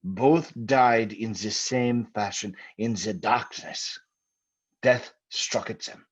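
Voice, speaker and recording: An actor playing a stern, authoritative doctor of the supernatural, grave and deliberate, in an old-time radio drama. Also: male voice, lowish voice, breathy edge. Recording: muffled old radio recording.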